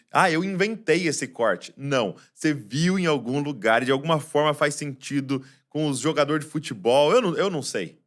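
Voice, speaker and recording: Guy preaching a christmas sermon, goes quiet then loud, asks lots of questions. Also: mid-range voice. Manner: swings between soft and loud, rhetorical questions.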